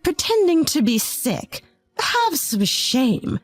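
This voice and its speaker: Woman putting on a shrill scolding mother-in-law. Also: big pitch swings, moderate pace, no room sound, faint music behind. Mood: scornful. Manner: harsh, scolding, contemptuous. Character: cruel scornful mother-in-law, older woman.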